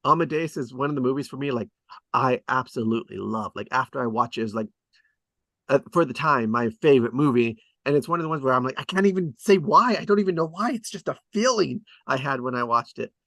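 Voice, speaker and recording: A man's voice: medium pitch, expressive, fast talker, a bit bright, no room sound. A man hosting a table read, chatting casually and hesitantly about scriptwriting as he thinks aloud. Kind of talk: casual rambling discussion of screenwriting.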